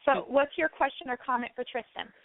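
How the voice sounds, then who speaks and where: A woman's voice: high voice, brisk, no room sound, phone-quality sound. A woman hosting a live call-in show, encouraging and affectionate with the people she talks to. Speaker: radio show host taking listener calls.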